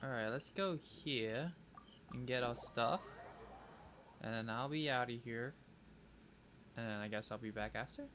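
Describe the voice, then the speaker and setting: Bright-voiced man streaming a game. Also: mid-range voice, a little slow, long pauses. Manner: thinks aloud, playful childish wording. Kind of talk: casual livestream gameplay chat. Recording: faint background noise.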